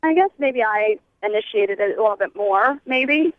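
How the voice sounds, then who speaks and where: A woman's voice: mid-range voice, animated, moderate pace, no echo. A woman calling a radio show on a phone line, chatting casually in a hedging way full of filler words. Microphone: telephone.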